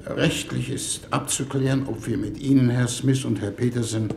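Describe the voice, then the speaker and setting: A man's voice: medium pitch, steady tone, normal speed, gravelly, darkish tone, natural voice. An actor playing a formal, ponderous, idealistic foreign minister in a 1950s German radio play, hesitant in his speechmaking. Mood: hesitant, official. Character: deliberate, idealistic male foreign minister leading a diplomatic mission. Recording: roomy 1950s radio recording, background hiss.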